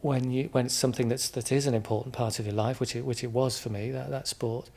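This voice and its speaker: English guy being interviewed, thinks out loud, hesitant, repeats himself while explaining stuff. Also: mid-range voice, steady tone, fast talker, creaky. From England, Midlands. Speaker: interview guest. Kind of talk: informal interview answers.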